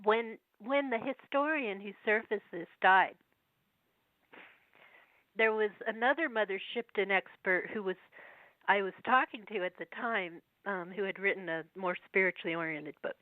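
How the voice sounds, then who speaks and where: A woman with a cold, her voice going, speaking as a guest over the phone and reading old verses slowly between chatty asides. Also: mid-range voice, no room sound. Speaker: guest researcher. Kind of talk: phone interview, reading prophecy verses aloud. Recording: tinny phone line.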